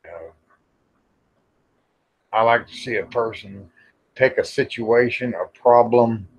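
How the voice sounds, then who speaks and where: A man's voice: medium pitch, takes it slowly, husky. A folksy, plain-spoken American man chatting casually and rambling to viewers on a livestream. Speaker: livestream host. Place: outdoors in the evening. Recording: dull lo-fi livestream audio.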